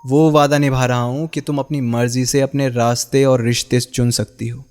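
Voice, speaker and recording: A man's voice: medium pitch, level tone, normal speed, darkish tone, faint music behind, natural voice. An actor playing a bitter, heartbroken young man in a radio drama, sarcastic and accusing as he confronts the woman he loved. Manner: sarcastic, accusing, with pointed rhetorical questions. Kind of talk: Hindi radio play acting.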